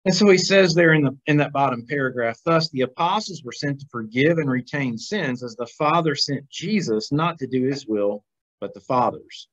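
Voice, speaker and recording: A man's voice: mid-range voice, lively intonation, moderate pace. A middle-aged man teaching an informal Bible class over a video call, reading aloud and commenting conversationally with questions to the class. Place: small plain room, indoors. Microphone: video call, computer microphone. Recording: dull, lo-fi video call audio.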